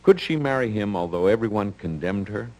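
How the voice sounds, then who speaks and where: A man's voice: fairly low pitch, animated, fairly quick, a little mellow, low-quality recording. An American man hosting a radio drama, reading scripted narration in a 1950s announcer style.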